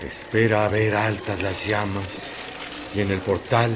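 A man narrating a literary radio drama in solemn, measured phrases. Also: lowish voice, flat delivery, close to an everyday voice. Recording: noisy track with ambient effects.

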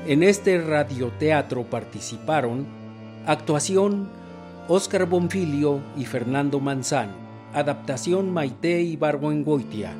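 A man reading scripted radio production credits as an announcer. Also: on the high side. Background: music.

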